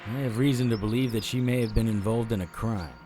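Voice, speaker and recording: Male actor as wry private eye, low voice, calm, measured, noir audio drama, music clearly underneath. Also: close to an everyday voice. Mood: polite, probing. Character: hard-boiled male private investigator, wry, calm, unflappable. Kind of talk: first-person noir audio drama narration. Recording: music behind the voice.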